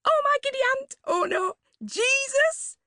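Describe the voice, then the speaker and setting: A woman reading a story, voicing a tactless, nosy young woman who squawks and gushes in drawn-out exclamations. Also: very high-pitched, animated, bright, forceful tone, no room sound, studio quality, voice pushed up, exaggerated voice. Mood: gushing.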